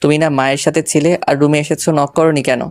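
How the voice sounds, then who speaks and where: Audio-story husband , acted by a man: young, irritable, scolding, even delivery, dry sound. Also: a little high, fast talker, no echo, lightly acted. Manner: curt, scolding.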